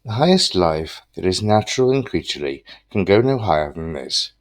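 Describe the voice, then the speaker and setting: A man reciting philosophical quotations from a script in a solemn, deliberate manner. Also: low voice, very animated, dry recording, studio quality. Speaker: reader of quotations.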